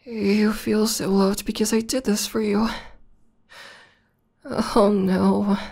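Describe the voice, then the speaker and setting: A woman playing a tender, lovestruck tomboy demoness in a romantic ASMR roleplay, speaking softly and haltingly with half-whispers. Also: mid-range voice, flat delivery, exaggerated voice. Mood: tender, moved. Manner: soft, halting, breathy half-whispers with emotional stammers. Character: tender, lovestruck tomboy demoness, millennia old, newly proposing.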